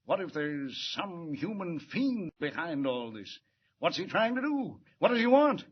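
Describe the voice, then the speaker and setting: An actor playing an anxious, gruff middle-aged male police commissioner in 1940s radio acting. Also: on the high side, sing-song, unhurried, exaggerated voice. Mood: worried. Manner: gruff, worried, urgent. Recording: muffled vintage radio broadcast.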